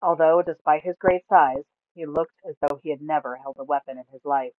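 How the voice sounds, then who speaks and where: A woman storyteller reading scripted fantasy narration aloud in a measured, dramatic way. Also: low-pitched, no echo. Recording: narrow, phone-like sound.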